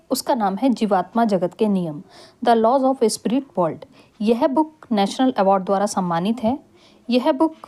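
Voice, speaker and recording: A woman's voice: on the high side, warm tone. A woman giving a scripted introduction as host of a spiritual audiobook channel.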